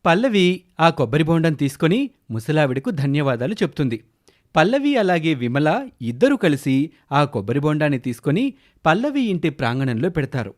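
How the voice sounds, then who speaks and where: A male narrator tells a scripted children's story. His voice is on the high side and moves with animated, swinging intonation.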